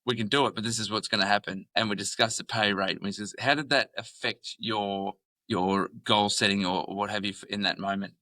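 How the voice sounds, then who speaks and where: Male boss-host, lower pitch, bright tone, talks like a coach. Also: steady tone, fairly quick, dry recording. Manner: coaching, gives advice. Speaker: podcast host, company boss. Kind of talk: casual podcast chat.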